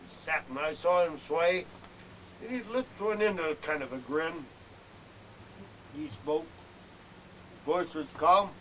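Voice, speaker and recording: A man giving a theatrical recitation of a narrative frontier ballad, swelling with emphasis and dropping to hushed lines. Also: on the high side, measured pace, long pauses. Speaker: amateur poetry reciter. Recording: noisy amateur VHS home video.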